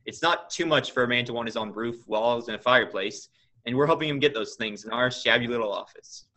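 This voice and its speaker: Firm, bright teen playing a kindly father. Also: mid-range voice, a little fast, close to an everyday voice, poor audio. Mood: earnest. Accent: American. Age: teens. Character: kindly, principled middle-aged small-town father. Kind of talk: stage radio play acting.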